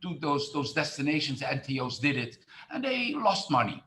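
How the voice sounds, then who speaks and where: A man's voice: on the high side, sing-song, moderate pace, bright, forceful tone. A middle-aged man from the Netherlands, a tourism marketing expert who speaks with many fillers and restarts in an online presentation.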